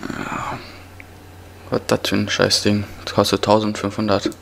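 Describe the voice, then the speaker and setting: A man's voice: on the low side, completely flat delivery, moderate pace, gravelly, fairly bright tone. A young man with a cold, casually commentating his gameplay in slang, muttering to himself. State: has a cough and a cold. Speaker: let's player. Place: indoors at a computer, voice over gameplay. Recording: noisy home recording, keyboard typing.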